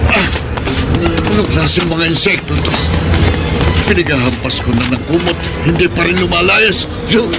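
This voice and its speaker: A man's voice: fairly high pitch, rough recording. A man acting in a horror radio drama, playing a son in panicked anguish and pleading. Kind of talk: 1950s-style Filipino radio drama acting.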